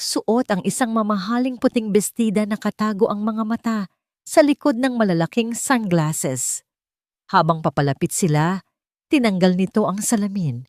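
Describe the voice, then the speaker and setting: Low-voiced Filipino woman narrating a drama. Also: darkish tone, no room sound, clean studio sound. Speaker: audiobook narrator. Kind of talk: dramatic scripted story narration.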